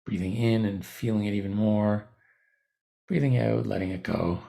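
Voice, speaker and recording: A man leading a guided body scan meditation as a teacher, giving gentle, unhurried instructions with pauses mid-phrase. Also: on the low side, fairly even intonation, gravelly.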